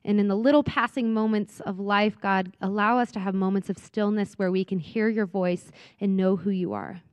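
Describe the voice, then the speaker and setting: A woman speaker at a church who closes a reflective talk and then leads the room in a soft, earnest prayer. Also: medium pitch, steady tone, moderate pace, smooth, no room sound. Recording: dull, very dry sound.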